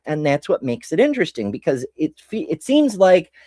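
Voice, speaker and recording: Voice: medium pitch, sing-song, moderate pace, mellow, no room sound, studio quality. An American host giving casual history commentary, rambling and self-interrupting with casual swearing. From United States.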